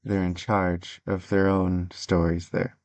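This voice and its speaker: A man hosting an interview, asking measured follow-up questions. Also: low-pitched, little pitch movement, a little mellow, no room sound.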